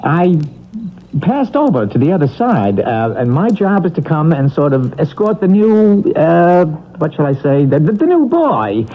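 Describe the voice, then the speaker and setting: An actor playing a flustered, apologetic novice escort of souls, hesitant and stammering, in classic radio drama style. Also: male voice, on the high side, very animated, unhurried. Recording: thin phone-like old radio recording.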